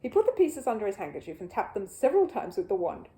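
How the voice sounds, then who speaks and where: A woman narrating a children's story as an animated audiobook reader. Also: mid-range voice, fast, low-quality recording.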